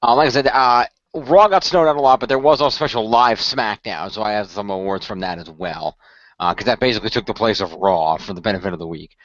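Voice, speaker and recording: A man's voice: fairly low pitch, fairly quick, fairly bright tone, dry recording. A man co-hosting a casual podcast, recapping events in a rambling way with many restarts. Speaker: podcast co-host. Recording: muffled dry lo-fi audio.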